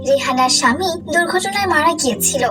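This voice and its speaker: A woman's voice: high voice, expressive, fast, recorded from a distance, over music. A woman reading a scripted moral story for children as narrator.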